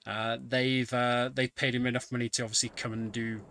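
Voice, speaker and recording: A man giving casual, conversational live commentary on a video game speedrun, often pausing with ums. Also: medium pitch, little pitch movement, sharp tone, a little hiss or hum. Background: game music.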